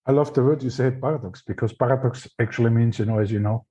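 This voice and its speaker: A man's voice: medium pitch, fast, warm tone, husky, dull audio. A man with an Austrian accent, speaking thoughtfully and searching for words in English. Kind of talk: casual spiritual conversation.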